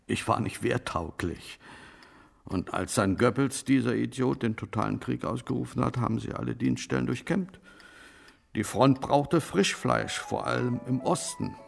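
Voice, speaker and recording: A man's voice: medium pitch, moderate pace. An actor playing an old father who dryly reminisces about the war in a naturalistic radio drama. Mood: dry, reminiscing. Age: older. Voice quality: creaky. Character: old German father, wartime auxiliary policeman, dryly reminiscing.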